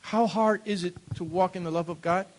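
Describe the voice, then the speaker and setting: A man from Cuba preaching in English through an interpreter, emphatic and building to loud peaks. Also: high-pitched, fairly even intonation, normal speed. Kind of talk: bilingual sermon with interpreter.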